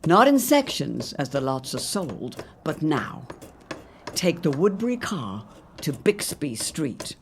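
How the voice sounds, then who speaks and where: A woman's voice: low voice, big pitch swings, slow pace, slight background noise, full character voice. A woman playing a declamatory period radio announcer, reading scripted lines emphatically for an audio drama. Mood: grand, emphatic. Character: serial narrator and period advertisement announcer.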